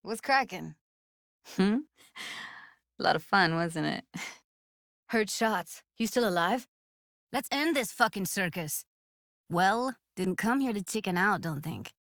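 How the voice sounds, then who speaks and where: A woman's voice: mid-range voice, expressive, slow pace, long pauses, a bit bright, dry recording, clean studio sound. A woman playing a guarded, blunt but tender young techie in a video game, speaking in a casual, clipped, streetwise way. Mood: wry, tense. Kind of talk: naturalistic video game voice acting.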